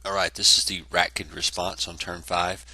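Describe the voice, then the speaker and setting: A man's voice: sits low, flat delivery, normal speed, pushed and bright, no room sound. A congested man casually narrating a tabletop wargame battle report, rambling with many fillers. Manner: rambling, self-correcting, lots of fillers.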